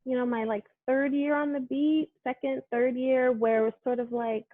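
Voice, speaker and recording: A woman's voice: high voice, moderate pace, no room sound. Chatty Brooklyn woman on a tinny call. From Brooklyn, New York. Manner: chatty, animated, many fillers like "like" and "you know". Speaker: sports reporter, interview guest. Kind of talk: casual interview conversation.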